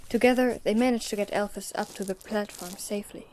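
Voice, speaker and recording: A woman narrates a scripted story. Her voice is mellow and of medium pitch. There is faint noise behind her. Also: steady tone, fairly quick.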